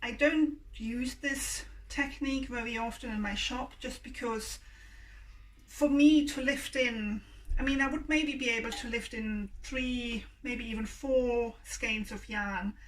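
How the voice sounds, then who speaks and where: A woman with a croaky voice, chattily demonstrating a craft and hesitating with many ums. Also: a little high. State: croaky voice, clearing throat. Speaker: yarn dyer and shop owner. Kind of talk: casual demonstration video. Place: home workspace with hot plate. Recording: distant mic with faint hum. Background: faint hum of a hot plate.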